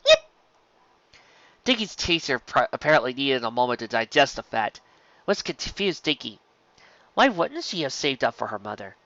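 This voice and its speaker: A woman's voice: rumbling low, sing-song, moderate pace, a bit bright, no echo. A woman reading a story aloud as scripted narration, on a dull, dry home recording. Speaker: story reader. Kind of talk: scripted narration of fan fiction.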